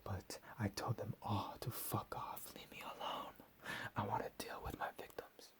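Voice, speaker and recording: A man playing a deranged, menacing captor in a whispered ASMR roleplay, profane and slow with drawn-out emphasis. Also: on the low side, little pitch movement, close to an everyday voice. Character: deranged menacing male captor, recently escaped asylum.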